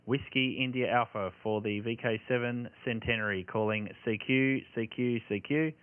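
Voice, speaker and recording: A man's voice: medium pitch, fairly even intonation, normal speed, clean tone, no room sound. Tinny-sounding Australian man on ham radio. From Tasmania, Australia. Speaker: amateur radio operator. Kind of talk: ham radio calling, phonetic call signs and signal reports. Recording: tinny band-limited audio with radio static.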